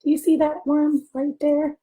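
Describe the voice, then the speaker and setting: An American woman, a pastor, reading a children's story aloud with gentle, simple asides and questions for young listeners. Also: high-pitched, little pitch movement, a little slow. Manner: gentle, simple questions addressed to small children, often hushed.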